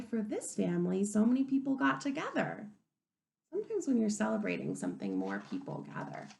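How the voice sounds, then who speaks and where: A woman reading a picture book aloud to young children as a teacher, expressively, pausing to wonder aloud and ask them questions. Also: medium pitch, creaky. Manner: expressive, wondering aloud, inviting listeners to reflect.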